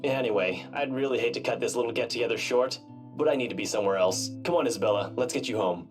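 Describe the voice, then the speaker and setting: A man's voice: medium pitch, quick pace, close to an everyday voice. A man playing a curt, teasing young policeman in a visual novel, casual and clipped. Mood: brisk. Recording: guitar music behind the voice. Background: guitar music.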